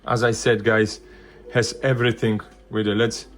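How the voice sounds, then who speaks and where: Guy with a fairly low voice showing off gear, thinks out loud, bit halting, some music in the back. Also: little pitch movement. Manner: halting, loosely phrased, thinking aloud. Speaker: vintage hi-fi video presenter. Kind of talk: casual hands-on product demonstration.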